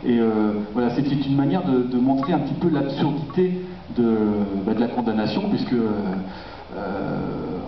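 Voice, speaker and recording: A man's voice: medium pitch, normal speed, creaky, far from the mic. A man gives a public lecture with slides, hesitating often as he searches for words. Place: dark auditorium. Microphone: distant camera in the audience. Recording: echoey, dull, noisy auditorium recording from afar.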